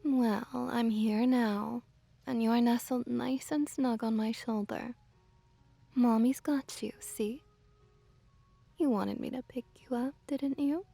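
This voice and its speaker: A woman playing a doting, gently dominant kitsune witch in an ASMR roleplay, softly cooing and teasing, sometimes in a breathy whisper. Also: fairly high pitch, no room sound, exaggerated voice. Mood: soothing, doting. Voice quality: soft, breathy whispering at times. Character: seductive, doting, possessive female kitsune fox witch. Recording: background music behind close, dry voice.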